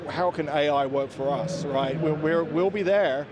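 A man in his forties presenting products as a company representative in an interview, somewhat halting and repeating words. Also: a little high. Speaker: company representative at a trade show. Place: indoor exhibition booth. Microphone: handheld interviewer's microphone. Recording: busy trade-show floor crowd noise.

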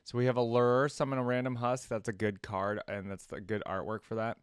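A man's voice: medium pitch, flat delivery, brisk, clean tone, no echo, studio quality. A man in his twenties hosting a casual reaction video with off-the-cuff commentary. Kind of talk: casual reaction commentary, sometimes reading cards aloud.

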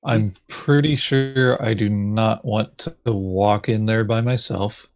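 A man's voice: fairly low pitch, fairly even intonation, slow, muffled recording. A man chatting casually as he thinks through his moves in an online tabletop game. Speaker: board game player.

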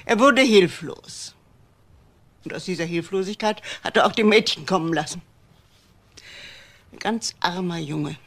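An older actress playing a wealthy, bitter widowed mother in a German crime radio drama, speaking deliberately and dramatically with pauses. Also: lowish voice. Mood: bitter, lamenting. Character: old wealthy widowed mother, bitter about her son.

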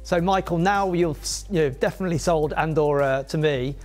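A man's voice: on the high side, brisk, a little mellow, faint music behind. A middle-aged man hosting an interview, friendly and chatty, asking leading questions. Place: indoor studio set with wooden walls.

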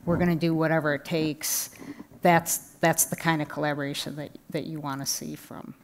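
Woman, 50s, patient advocate; deep for her sex, halting, personal. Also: flat delivery, noisy background. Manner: personal, searching for words. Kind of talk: panel discussion. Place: indoor conference stage. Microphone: headset mic.

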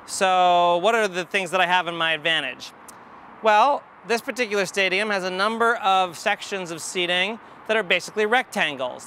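An American man in his forties teaches outdoors, with faint ambient noise behind him. His voice is high for a man and bright, with a forceful edge. He works through his explanation methodically, thinking aloud one step at a time. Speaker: math educator. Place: outdoors in an empty football stadium. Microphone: lapel.

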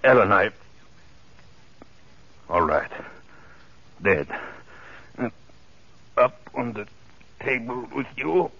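An actor playing an unhinged, obsessive murderer in 1940s radio horror, speaking haltingly with heavy, brooding pauses. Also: male voice, mid-range voice, big pitch swings, creaky, fairly bright tone, breathy edge, full character voice. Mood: agitated, cold. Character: unhinged, obsessive male murderer gloating over his captive. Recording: muffled, hissy 1940s radio recording.

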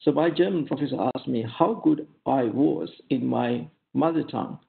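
Male academic lecturer, Sri Lankan accent, Sinhala native, gravelly creak, halting explanatory delivery, thin phone-like online audio. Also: medium pitch. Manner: halting, often checks with "okay?" and "right?". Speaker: academic giving a career talk. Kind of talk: informal lecture over slides.

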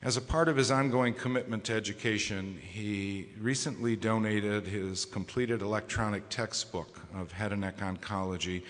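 A man presiding as society president, giving a formal award ceremony speech in a measured way with frequent hesitation fillers. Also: lowish voice, steady tone, a bit bright. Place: large conference hall. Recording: echoey conference hall.

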